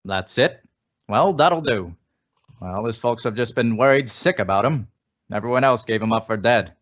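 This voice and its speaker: A man's voice: medium pitch, animated, moderate pace, a bit bright. A man playing a folksy, insinuating former small-town police chief in an old-time radio suspense drama, coaxing and persuasive. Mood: folksy, knowing. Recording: dull, lo-fi audio.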